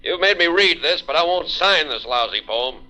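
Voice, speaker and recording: Pompous, animated hack poet. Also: male voice, on the high side, normal speed. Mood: indignant. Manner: declamatory, pompous. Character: pompous, rapid-fire male hack poet, indignant. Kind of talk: 1940s radio drama acting. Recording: muffled old radio recording with music.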